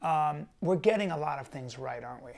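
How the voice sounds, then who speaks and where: A man hosting a podcast, with a gravelly, creaky voice pitched a little above the male middle. He chimes in with short agreements and slower, reflective remarks. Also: lively intonation. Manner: brief affirmations and reflective asides. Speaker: podcast host. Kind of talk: casual podcast conversation.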